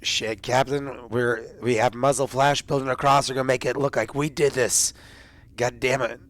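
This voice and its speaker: A man's voice: mid-range voice, steady tone, moderate pace, a bit bright. A man roleplaying a soldier in a tabletop game, blunt and sweary.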